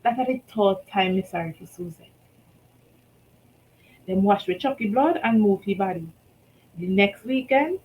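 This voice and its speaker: A Vincentian woman reading her own short story aloud, telling it in rhythmic Creole with lively shifts in loudness. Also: medium pitch, slight background noise, poor audio. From St. Vincent and the Grenadines.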